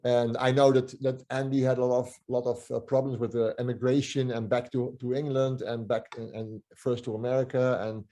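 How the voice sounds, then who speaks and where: An older man, a musician guest telling stories in a casual interview, halting with many restarts and fillers. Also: medium pitch, level tone, dark tone. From Netherlands.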